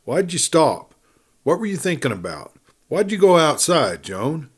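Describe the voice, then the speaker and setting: Guy doing a worried old cowboy dad in a western story, urgent, gruff but tender, folksy talk. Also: on the high side, big pitch swings, a little slow, voice pushed up, exaggerated voice. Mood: fearful, demanding. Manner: urgent, demanding, folksy cowboy drawl wording. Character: anxious, weathered old rancher father, gruff but tender.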